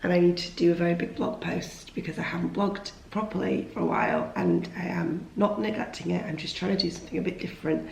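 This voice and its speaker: Vlogger, woman, voice shot from a cold, low and rough, rambly and self-deprecating. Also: big pitch swings, fast talker, hardly any pauses, roomy sound, slight background noise, poor audio. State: lost voice after a cold, hoarse. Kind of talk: casual chat to camera. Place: at home, indoors.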